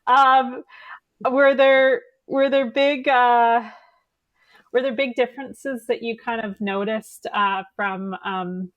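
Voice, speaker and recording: A woman's voice: fairly high pitch, fairly even intonation, slow pace, dry recording, narrow, tinny audio. Canadian woman host, forties, dry headset sound, chatty and meandering. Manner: rambling, many restarts, laughs at herself. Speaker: podcast host. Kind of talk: casual video-call chat. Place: home kitchen.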